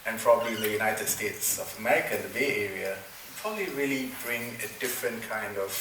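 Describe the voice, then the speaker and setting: A man's voice: on the low side, fast talker. A man from Malaysia, a forum's program director, answering on a conference panel, thoughtful and full of fillers and restarts. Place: conference room. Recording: echoey room, far from mic, noisy.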